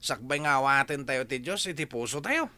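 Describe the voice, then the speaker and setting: Man acting sharp, earnest devout prisoner. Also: medium pitch, animated, a little fast. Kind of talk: Ilocano radio drama acting.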